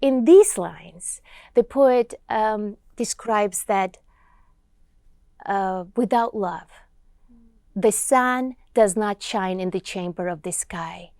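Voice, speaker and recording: A woman whose first language is Georgian, speaking as an interview guest and reciting poems in a slow, earnest, expressive way. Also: mid-range voice, no echo, studio quality. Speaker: guest poet.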